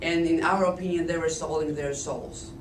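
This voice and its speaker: A woman in her fifties from Poland with a Polish accent, hoarse from a cold, telling her life story slowly and deliberately with frequent restarts. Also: low-pitched, steady tone. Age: 50s. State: hoarse, voice lowered by illness. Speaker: interviewee recounting life story. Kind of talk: oral history testimony. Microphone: Flip camcorder at a distance. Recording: echoey, distant camcorder audio with noise.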